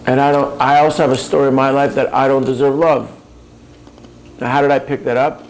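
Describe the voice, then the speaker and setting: A man's voice: mid-range voice, flat delivery, a little fast, slight background noise, rough recording. A man giving a reflective coaching talk, earnest and probing, speaking directly to the listener. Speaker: speaker on personal growth.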